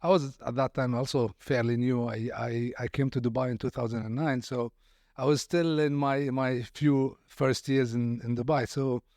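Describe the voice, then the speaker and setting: A man's voice: medium pitch, fairly even intonation, moderate pace, darkish tone, no room sound, studio quality. A man hosting a podcast interview, courteous, often repeating words as he searches for phrasing. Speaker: podcast host.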